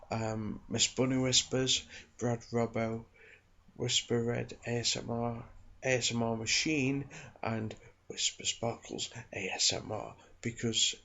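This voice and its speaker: A man delivers soft-spoken ASMR reading and informal chat. His voice is fairly low and very creaky, with a husky, breath-worn texture. The recording sounds slightly dull. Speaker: ASMR video creator and book reader.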